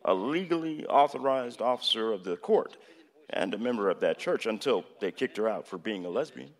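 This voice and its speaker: Measured president in a reverberant hall. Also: male voice, on the low side, expressive. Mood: pointed. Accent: American. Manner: hesitant, deliberate, lecturing. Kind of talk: radio play acting.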